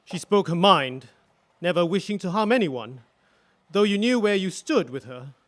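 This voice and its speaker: A man plays a grave widower testifying in a courtroom play. His voice is high for a man, and he speaks earnestly, with careful, solemn precision. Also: animated, moderate pace. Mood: fond, earnest. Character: grave, earnest male electrical engineer, widowed war witness.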